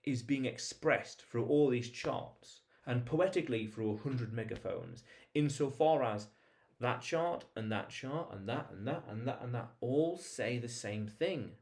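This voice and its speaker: A man's voice: mid-range voice, animated, a little slow, a little mellow. Emphatic male vlogger explaining ideas. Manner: emphatic, repeats phrases and poses rhetorical questions. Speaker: vlog host. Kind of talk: informal explainer monologue to viewers.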